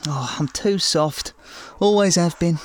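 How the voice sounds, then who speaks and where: A man's voice: on the high side, a little slow, natural voice. A man playing a whining, self-pitying husband, noisy recording. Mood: self-pitying, dismissive. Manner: whining, self-dramatising, blunt. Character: self-pitying married man having an affair, blustering and callous. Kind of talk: comedy audio drama acting.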